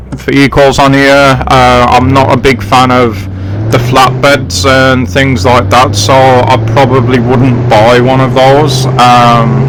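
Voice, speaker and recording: An English man hosts a gaming video in a casual, rambling way. His delivery is unhurried and his pitch stays fairly flat at a middling height. Vehicle hum and engine noise run clearly behind his voice. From England. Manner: chatty, rambling, addressing viewers directly. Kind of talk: casual gameplay commentary. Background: driving game vehicle sounds.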